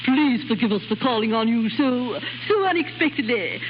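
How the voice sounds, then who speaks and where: Haughty, high-voiced duchess, played by an actress. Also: female voice, animated, normal speed, natural voice. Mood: stiffly polite. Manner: imperious, formal, clipped. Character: haughty middle-aged English duchess, brisk and disapproving. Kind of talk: 1930s radio drama acting. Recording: muffled, noisy 1930s radio recording.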